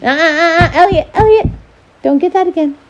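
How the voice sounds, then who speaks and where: A woman reading aloud as a volunteer storyteller, with an expressive, dramatic delivery. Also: extremely high pitch, fairly quick, background noise. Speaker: volunteer children's book reader.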